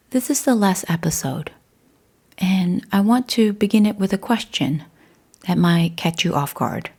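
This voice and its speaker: A woman narrates a guided meditation in a slightly low, mellow voice with a breathy, husky edge. Her delivery is hushed and measured, with deliberate pauses between phrases.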